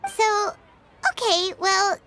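A woman voicing a tiny, curious, naive fairy companion in a video game, squeaky and childlike. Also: steady tone, takes it slowly, background noise. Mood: puzzled, curious. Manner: third-person self-reference, childlike earnest questioning.

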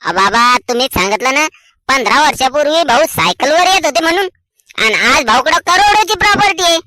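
A man's voice: very high-pitched, lively intonation, brisk, pushed and bright, no echo, full character voice, low-quality recording. A man voicing a cheeky, ambitious young village son in a comedy cartoon, cocky and argumentative, with a rural Maharashtrian accent.

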